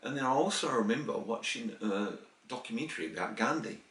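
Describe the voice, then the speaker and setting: A man's voice: medium pitch, expressive, a little fast, a bit bright, distant mic. A New Zealand man in his late fifties, answering interview questions in a rambling, chatty way with small laughs. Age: 50s. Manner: rambling, with restarts and chuckles. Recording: roomy, voice from the mic.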